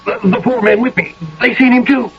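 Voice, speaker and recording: An actor playing a frightened, plain-spoken old army sergeant in a radio drama, stammering in folksy, ungrammatical speech. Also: male voice, extremely high pitch, brisk. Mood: shaken, earnest. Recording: dull, noisy old radio recording.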